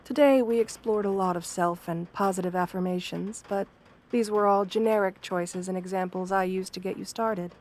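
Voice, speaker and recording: A kind wolf girl therapist, acted by a woman for an ASMR roleplay. She speaks softly at a medium pitch. Her manner is gentle and professional, measured and reassuring, like a patient counsellor. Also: steady tone, natural voice. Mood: encouraging, instructive. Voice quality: soft-spoken. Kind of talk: soft-spoken ASMR roleplay.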